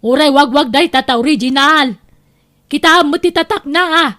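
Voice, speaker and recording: Woman acting a high-pitched, bossy aunt. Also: moderate pace, a bit bright. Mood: boastful. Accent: Ilocano. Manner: scolding, insistent. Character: bossy, boastful aunt who nags her nephew. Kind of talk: Filipino audio drama acting.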